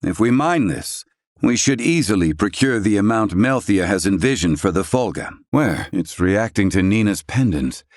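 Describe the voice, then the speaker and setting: A man's voice: fairly low pitch, expressive, normal speed, no echo, clean studio sound, close to an everyday voice. A man voicing a stern, haughty learned character in a video game, speaking in pompous, clipped, archaic formal diction. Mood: measured, grave.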